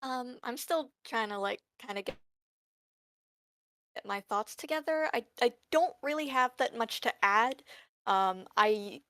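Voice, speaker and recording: A woman's voice: on the high side, expressive, a little slow, pushed and bright, dry recording. A woman chatting casually in a tentative, unsure way. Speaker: learning writer at table read. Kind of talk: casual chat.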